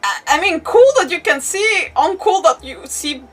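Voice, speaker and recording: A woman's voice: extremely high pitch, lively intonation, normal speed. A woman livestreaming a game with casual, rambling, playful commentary and mild swearing, on a slightly distant microphone. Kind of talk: casual live gaming commentary.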